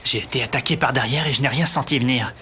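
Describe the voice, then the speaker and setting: A man's voice: medium pitch, fast talker, bright, forceful tone, a little hiss or hum, lightly acted. An actor playing a serious young male martial arts student who also narrates, in a French anime dub. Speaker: narrator and fighter. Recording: music behind.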